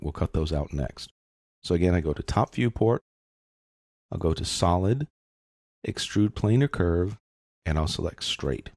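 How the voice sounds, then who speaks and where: A man narrating a software tutorial in a casual, methodical way, explaining each step as he goes. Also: low voice, no room sound, clean studio sound. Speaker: software instructor.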